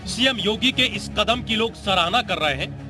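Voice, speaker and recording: High-voiced man reading a news report over music. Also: animated, normal speed.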